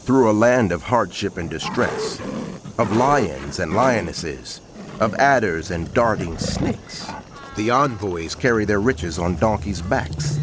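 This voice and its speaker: An actor playing a prophet who narrates dramatized scripture in a measured, earnest, proclaiming way. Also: male voice, fairly low pitch, background noise. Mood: grave. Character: prophet narrating, earnest male herald of God.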